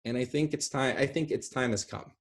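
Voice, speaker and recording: A man's voice: mid-range voice, fairly quick. A man in his forties chatting effusively and ramblingly on a video call from a room at home. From small rural town. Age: 40s. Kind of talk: casual discussion on a video call. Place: small room at home, indoors. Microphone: computer on a video call.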